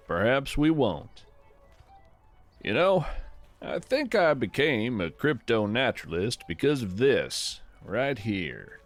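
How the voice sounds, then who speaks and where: A man playing a gentle, folksy naturalist who hosts a scripted fiction podcast, musing poetically and with wonder about the woods. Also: mid-range voice. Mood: curious, musing, then reverent wonder. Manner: reflective, poetic, unhurried musing. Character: gentle, wonder-struck folksy naturalist narrating a woodland walk. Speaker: podcast host.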